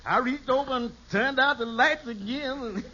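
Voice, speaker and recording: Shady, skirt-chasing radio sitcom lawyer, piercingly high and bright, folksy chuckling storyteller, muffled old recording. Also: male voice, normal speed, a little hiss or hum. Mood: gleeful. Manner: chuckles through his stories, folksy nonstandard grammar. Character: excitable, girl-chasing shady male lawyer telling tall tales. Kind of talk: 1950s radio sitcom acting.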